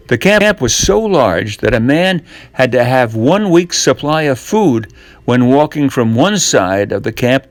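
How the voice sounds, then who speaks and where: An older man narrates a children's tall tale at an unhurried pace. His pitch swings expressively between deliberate pauses, and the sound is close and dry. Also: dry recording. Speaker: storyteller reading a tall tale.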